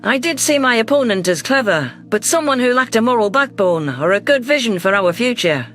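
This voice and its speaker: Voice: mid-range voice, lively intonation, a little fast, a bit bright, soft background music. A narrator reading a first-person science fiction story in a scripted, audiobook style.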